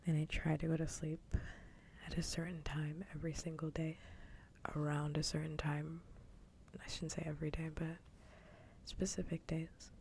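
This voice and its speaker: A woman livestream host chatting casually in a soft, breathy whisper for ASMR, gentle and unhurried, close to the microphone. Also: low voice, level tone, a little hiss or hum.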